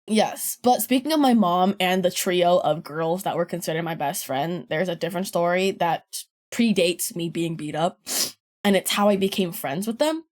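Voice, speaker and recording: Young woman, kinda deep voice, rambly podcast storytelling, laughs and swears a lot. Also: normal speed, darkish tone. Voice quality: deeper voice. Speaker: podcast guest. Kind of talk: casual storytelling chat.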